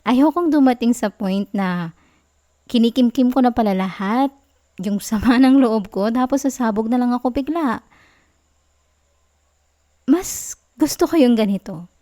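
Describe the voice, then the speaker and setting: A woman with a Filipino accent playing a clingy, affectionate, playfully sulky girlfriend in an intimate ASMR roleplay, soft, cooing and teasing. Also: fairly high pitch, lively intonation, measured pace, pauses often. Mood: tender, honest.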